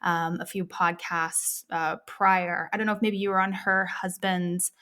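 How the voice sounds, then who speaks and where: A woman's voice: fairly low pitch, fairly even intonation, a little slow, bright, forceful tone. A woman hosting a podcast, talking in an enthusiastic, chatty way with a lot of gushing. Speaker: podcast host. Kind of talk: casual interview chat.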